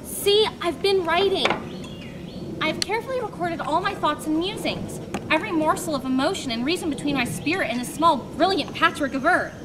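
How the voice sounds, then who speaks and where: A woman's voice: very high voice, a little fast, noisy background. A woman reading the part of an earnest, solemn man who lives alone in the woods and writes, a little defensive. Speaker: reader in a dramatic reading.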